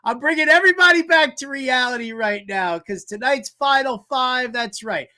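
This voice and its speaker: Bright, forceful male sports talk host. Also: very high-pitched, moderate pace. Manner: exasperated, theatrical fan talk with repeated emphatic phrases. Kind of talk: animated sports talk and countdown commentary.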